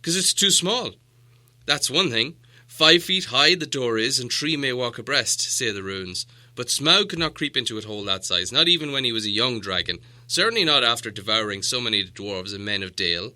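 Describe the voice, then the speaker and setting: A man's voice: mid-range voice, animated, normal speed, pushed and bright. A man voicing a stern, commanding old wizard in a storybook reading, emphatic and authoritative. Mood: explaining.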